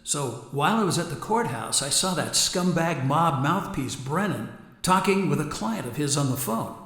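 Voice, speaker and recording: An echoing, playful male ghost in a radio drama. His voice is highish and swings up and down as he teases, deliberate and sly, washed in long reverb. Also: lively intonation, fairly bright tone. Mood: wry, friendly. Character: playful male ghost, teasing, eavesdropping ally of an investigator. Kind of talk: contemporary radio drama acting. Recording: echoey hall-like reverb.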